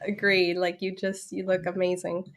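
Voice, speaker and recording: A woman's voice: on the low side, monotone, moderate pace. A woman hosting a casual live question-and-answer interview in a conversational way. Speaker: host.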